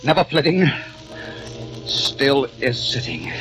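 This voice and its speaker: An actor playing a tormented, despairing poet and devoted husband in old radio drama, anguished and theatrical. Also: male voice, medium pitch, sing-song, slow, poor audio. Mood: feverish. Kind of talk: 1940s-50s radio drama acting. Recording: old radio recording, music behind.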